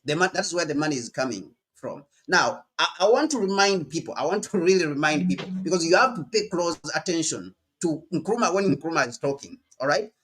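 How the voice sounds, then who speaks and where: An insistent man from Uganda delivers political commentary in a fairly high voice. He hammers his points home, says phrases over again, and keeps tagging them with "all right?". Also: a bit bright. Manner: emphatic, repeats phrases and asks "all right?".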